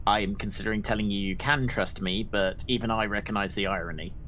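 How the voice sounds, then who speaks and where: A man's voice: on the low side, quick pace, slight background noise, poor audio. A man playing a tabletop role-playing game, speaking expressively and joking in his character's voice. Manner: comic, expressive. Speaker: player. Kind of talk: casual tabletop role-play.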